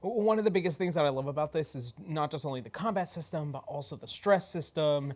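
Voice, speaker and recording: A woman's voice: low voice, fast talker, darkish tone. A woman, one of the players, talking casually after a tabletop game. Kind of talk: casual post-game chat.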